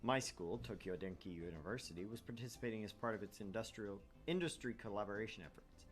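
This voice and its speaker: A man's voice: on the low side, fast talker, soft background music. A man hosting a gaming show, reading a visual novel aloud and commenting casually.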